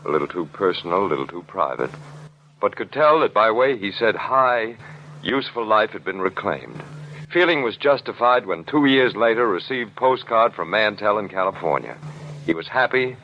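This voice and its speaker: A sincere newspaper reporter with a lowish voice speaks in clipped telegram phrases. It is 1940s radio drama, muffled and noisy. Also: male voice, animated, moderate pace. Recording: dull, noisy old radio recording.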